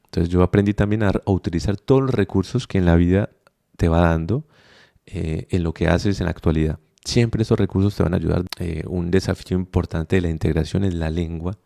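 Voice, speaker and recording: Deep gravelly Colombian man, reflective. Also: steady tone, fairly quick, warm tone. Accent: Colombian Spanish. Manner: reflective, thinks aloud with many fillers and restarts. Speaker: podcast guest, migrant. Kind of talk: conversational interview.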